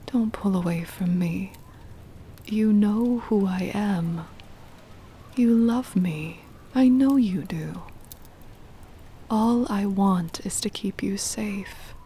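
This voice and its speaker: A woman voicing a centuries-old possessive, doting vampire mistress in an intimate ASMR roleplay, whispery and breathy, with tender, formal old-fashioned phrasing. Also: medium pitch, mellow, slight background noise. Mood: pleading, tender. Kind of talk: intimate ASMR roleplay, scripted dramatic monologue.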